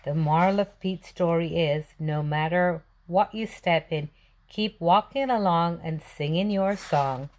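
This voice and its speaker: A woman's voice: lowish voice, measured pace, a little mellow, a little hiss or hum. A woman reading a storybook aloud for young children, expressively asking questions and answering them. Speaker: children's read-aloud presenter.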